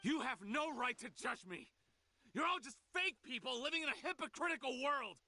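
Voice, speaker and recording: Bitter, animated villain, bright-edged. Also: male voice, very high voice, moderate pace, a little hiss or hum, exaggerated voice. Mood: defiant, indignant. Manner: theatrical, scornful, indignant. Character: bitter, defiant male villain, disguised criminal researcher.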